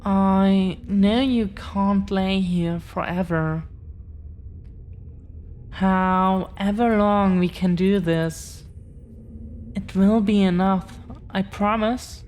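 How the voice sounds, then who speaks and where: A woman playing a protective, affectionate dragon-blooded girlfriend in an intimate audio roleplay, speaking in a halting, earnest way. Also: medium pitch, steady tone, darkish tone, slight background noise. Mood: protective, adoring.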